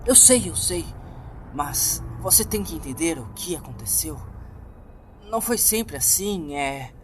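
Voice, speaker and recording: A Brazilian man voicing an earnest, troubled young woman who practises witchcraft, explaining herself haltingly in a horror story. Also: a little high, expressive, background noise, voice pushed up. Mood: earnest, pleading. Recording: music bed behind the voice.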